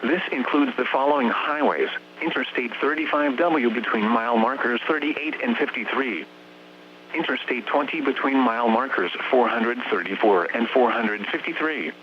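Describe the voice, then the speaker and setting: A synthetic male text-to-speech voice with an American accent reads scripted weather warnings as a radio announcer in a formal, measured, clipped way. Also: medium pitch. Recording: dull, humming, noisy weather radio broadcast.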